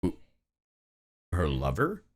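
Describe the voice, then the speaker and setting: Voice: low-pitched, huge pitch swings, takes it slowly, slightly rough. A streamer giving casual live commentary in an exasperated, slangy, profanity-heavy way. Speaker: streamer reacting to game voice lines.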